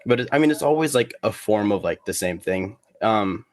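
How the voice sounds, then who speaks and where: A man chatting casually as a podcast guest, rambling and halting, with plenty of slang. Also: on the low side, expressive, dry recording, clean studio sound. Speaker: guest, competitive cornhole player.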